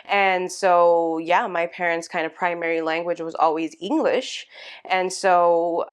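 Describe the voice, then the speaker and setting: Smooth-voiced woman, hesitant guest. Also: fairly low pitch, fairly even intonation, unhurried. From San Fernando Valley, California. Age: about 30. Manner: hesitant, many fillers and laughs. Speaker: podcast guest. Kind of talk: casual interview chat.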